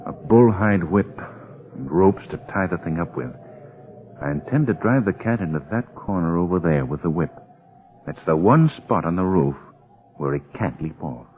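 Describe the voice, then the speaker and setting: An actor playing a bold, protective adventurer in a 1930s radio drama, terse and commanding, on a muffled old recording. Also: male voice, deep voice, animated, normal speed. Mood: resigned, watchful. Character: bold, brusque, protective male adventurer hunting a beast.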